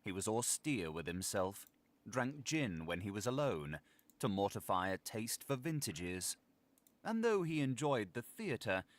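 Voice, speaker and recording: A man narrating a literary audiobook in a measured, expressive storytelling manner. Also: lowish voice. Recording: vehicle engine noise over playback.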